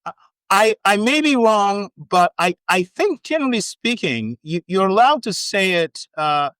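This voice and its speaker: A man with an American accent talks in a casual, halting way, piling up restarts and fillers before landing a dry joke. His voice is smooth and resonant, recorded close and dry with no room sound. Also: high-pitched, sing-song, fairly bright tone, no echo. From Trinidad. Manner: halting, many restarts and fillers, wry humor. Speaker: podcast guest. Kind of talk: casual chat.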